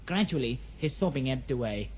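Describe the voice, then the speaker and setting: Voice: mid-range voice, animated, a little fast, a little hiss or hum. A narrator reading a scripted fantasy audio drama in a poetic, dramatic storybook manner.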